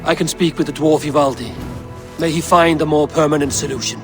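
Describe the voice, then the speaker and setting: A stern ruler of gods in a game cutscene, played by a man. His voice is slightly high for a man, grave and commanding, with music behind. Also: steady tone, fairly quick, lightly acted. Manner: grave, authoritative. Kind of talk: dramatic video game acting.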